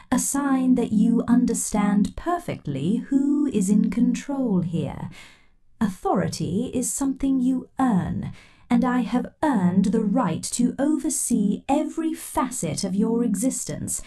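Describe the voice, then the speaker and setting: Slow, soft-spoken possessive woman. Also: on the high side. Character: possessive controlling female scientist captor. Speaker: ASMR roleplay performer. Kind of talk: ASMR roleplay, speaking to the listener.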